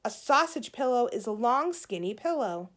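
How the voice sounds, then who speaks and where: A woman's voice: fairly high pitch, sing-song, normal speed. Woman acting a snappy, moody young girl. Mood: explaining, emphatic. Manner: emphatic, snappy, dramatic. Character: moody, bossy young girl, exasperated big sister. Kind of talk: children's storybook read-aloud.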